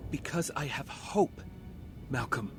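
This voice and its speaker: An actor playing an earnest, resolute young vigilante hero in an audio drama, speaking emphatically. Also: male voice, medium pitch, animated, slow, music in the background. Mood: resolute, hopeful.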